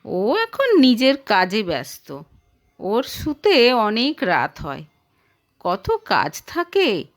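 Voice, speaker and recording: A woman's voice: fairly high pitch, wildly swooping pitch, very slow, voice pushed down, heavily acted. A woman voicing a proud, defensive young married woman in an audio story, earnest and conversational.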